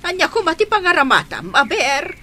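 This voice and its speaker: An actress with an Ilocano accent playing a protective, sharp-tongued mother in a radio drama, blunt and questioning. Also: very high voice, sing-song, a little fast, exaggerated voice. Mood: annoyed.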